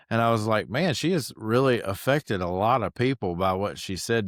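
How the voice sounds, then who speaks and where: A man's voice: lowish voice, studio quality. A man hosting a podcast, chatty and affirming, often echoing his guest's words. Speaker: podcast host. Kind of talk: casual interview talk.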